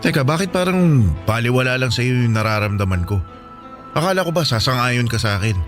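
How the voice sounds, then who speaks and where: A male actor plays an anxious Filipino sailor who aches for his family. He speaks hesitantly and pleadingly in radio drama dialogue, at a middle pitch, over a clear music bed. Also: animated, brisk, lightly acted. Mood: hurt, protesting. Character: homesick young seaman husband, anxious and pleading.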